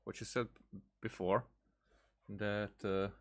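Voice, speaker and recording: Male gameplay commentator, somewhat low voice, casual and halting, working out thoughts aloud. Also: little pitch movement. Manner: hesitant, halting, thinking aloud. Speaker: game streamer.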